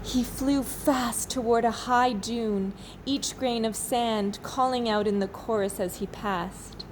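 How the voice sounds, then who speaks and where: A woman teacher reading a children's storybook aloud expressively, with dramatic pauses. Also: on the high side, a little hiss or hum, poor audio. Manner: slow, dramatic pauses, asks listeners questions.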